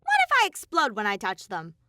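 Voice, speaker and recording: A woman's voice: mid-range voice, huge pitch swings, brisk, noisy background. A woman voicing a mischievous, bossy male robot bear villain in an audiobook story, brash and snappy. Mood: indignant, taunting. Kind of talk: audiobook character voice.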